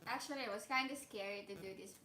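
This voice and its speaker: Soft-voiced woman on a home live stream, bright tone, echoey room with faint hum. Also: medium pitch, fast. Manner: softly spoken. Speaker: vlog co-host. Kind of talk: casual live-stream chat. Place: indoors at home.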